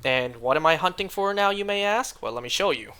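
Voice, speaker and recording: A man's voice: on the high side, expressive, fairly quick, sharp tone. Chatty man losing his voice. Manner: chatty, rambling, frequent throat clearing. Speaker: streamer. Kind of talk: casual stream chat.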